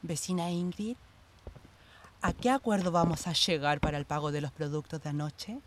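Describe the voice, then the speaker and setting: An actress playing a gossipy, pushy neighbour who sells housewares door to door, chatty and wheedling, in a radio drama. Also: female voice, fairly even intonation, normal speed, slight background noise, natural voice. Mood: pushy, ingratiating. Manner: chatty, wheedling, sales-pitching. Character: gossipy, pushy neighbour woman selling plastic containers door to door. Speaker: actor in a radio play.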